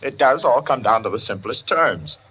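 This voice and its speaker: A man's voice: medium pitch, animated, moderate pace, husky. An actor playing an urbane, wry clubman who amiably needles his friends in a conversational 1940s radio drama style. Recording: dull, band-limited 1940s radio recording.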